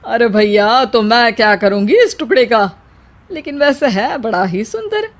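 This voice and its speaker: A woman puts on a sharp-tongued cartoon mother-in-law, middle-aged and greedy. The voice is fairly high and slow. She stresses her words with mocking relish. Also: lively intonation. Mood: haggling.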